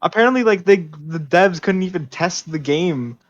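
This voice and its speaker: Higher-voiced man, dull lo-fi podcast audio. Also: moderate pace. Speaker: podcast co-host. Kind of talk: casual chat.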